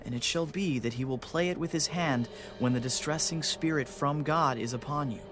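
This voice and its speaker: A man's voice: on the high side, normal speed, natural voice. A man playing a deferential royal servant, speaking formally and respectfully in an audio Bible drama. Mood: earnest, deferential. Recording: music behind the voice.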